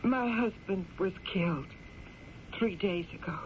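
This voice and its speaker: Casting: actress as young widow in a western radio play, frail, distraught, halting delivery, dull noisy sound. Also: female voice, medium pitch, close to an everyday voice. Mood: weary, grateful. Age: young adult. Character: frail, exhausted young widow from back east. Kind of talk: old-time radio drama acting. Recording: muffled, noisy radio drama recording.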